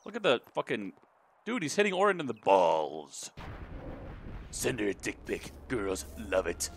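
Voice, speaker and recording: A man's voice: on the low side, wildly swooping pitch, unhurried, vocal fry, slight background noise. A man giving casual gameplay commentary, joking crudely and irreverently as he plays. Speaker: let's play commentator. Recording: game music behind voice. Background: video game music and dialogue.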